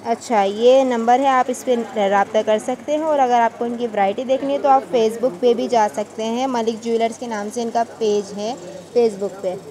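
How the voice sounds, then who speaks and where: A woman's voice: on the high side, fairly even intonation, normal speed, dark tone, poor audio. A woman with a Pakistani Urdu accent touring market stalls on camera, chatty and enthusiastic, asking shopkeepers about prices. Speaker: shopping vlogger. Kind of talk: market tour vlog. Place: busy indoor market shops. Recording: market noise behind voice.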